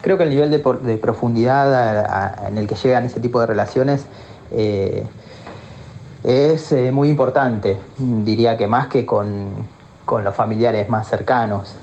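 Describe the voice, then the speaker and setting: A man's voice: mid-range voice, unhurried, darkish tone, dull audio, slight background noise. A man giving an interview, speaking hesitantly about his own experience with frequent restarts and fillers. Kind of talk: personal testimony.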